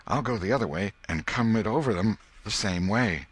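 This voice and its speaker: A man's voice: on the low side, expressive, normal speed, slightly rough. Man voicing an eager, stubborn mischievous schoolboy. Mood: sly, urging. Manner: rustic colloquial boyish talk.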